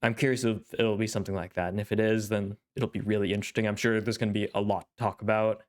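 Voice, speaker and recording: Congested young man with a smooth voice. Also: on the low side, little pitch movement, quick pace. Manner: thoughtful, rambling, with frequent fillers. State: congested, clearing his throat. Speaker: anime reaction channel host. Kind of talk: casual solo commentary.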